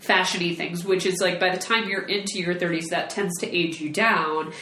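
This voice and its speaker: A woman's voice: on the low side, steady tone, normal speed, sharp tone, echoey room, slight background noise. A woman in her late twenties co-hosting a casual podcast. She talks in a chatty way, often restarting and trailing off. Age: late 20s. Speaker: podcast co-host. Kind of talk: casual chat.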